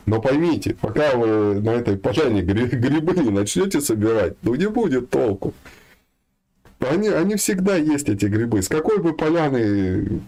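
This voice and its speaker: A man's voice: medium pitch, very animated, normal speed, mellow, low-quality recording. A man giving an informal spiritual talk, speaking colloquially with some slang and addressing his listeners directly.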